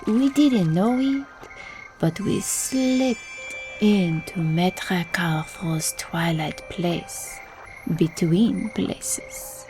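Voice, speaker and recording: An actress plays a streetwise Cajun bayou woman in an audio drama. Her voice is low and creaky, with music underneath. She speaks in slow dialect like an incantation, superstitious and quietly menacing. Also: rough recording. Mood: wistful, intense. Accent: Louisiana Cajun.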